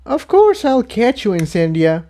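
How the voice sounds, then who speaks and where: Young winged pony mare for a storybook, male reader: lifted high pitch, earnest, tender, plain-spoken. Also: wildly swooping pitch, a little slow, warm tone, full character voice. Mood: reassuring.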